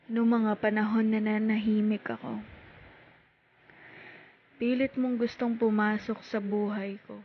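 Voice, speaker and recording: A woman with a Filipino accent performing heartfelt spoken-word poetry in short, deliberate phrases with pauses. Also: mid-range voice, little pitch movement, a little hiss or hum. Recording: tinny phone-like sound.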